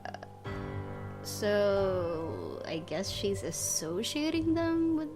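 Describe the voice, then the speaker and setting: A woman's voice: medium pitch, very animated, extremely slow. A woman hosting a gaming video, reading a visual novel aloud and adding casual commentary. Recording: game music behind the voice.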